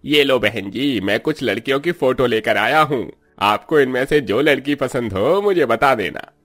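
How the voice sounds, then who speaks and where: A man's voice: mid-range voice, lively intonation, moderate pace, fairly bright tone. A man voicing a mild priest and matchmaker in a cartoon, talking in a familiar, matter-of-fact way. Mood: businesslike.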